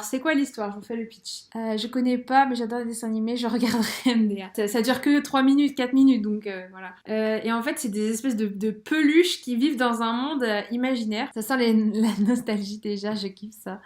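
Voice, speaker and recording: A young woman chatting casually as a livestream host, slangy, expressive and amused. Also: a little high. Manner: slangy, expressive, amused, spells out internet abbreviations. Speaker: livestream host reacting to a cartoon. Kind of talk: casual reaction chat.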